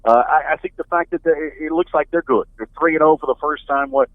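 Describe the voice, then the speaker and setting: A man's voice: a little high, fast, no room sound. A middle-aged man, a radio play-by-play announcer, talking as a guest in a sports interview over the phone in a conversational, analytical way. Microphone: telephone. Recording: band-limited phone line.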